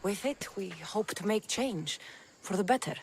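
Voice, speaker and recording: A woman's voice: medium pitch, animated, measured pace, slight background noise. A woman playing a terse, guarded, determined mercenary in a video game set in ancient Greece. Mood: guarded, earnest. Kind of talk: video game cutscene acting.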